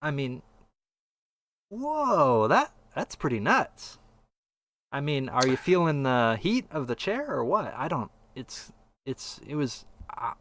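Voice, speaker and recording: A man's voice: medium pitch, fairly bright tone, no echo. A man hosting a podcast, chatting casually and offering commentary into a streaming microphone. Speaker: podcast host.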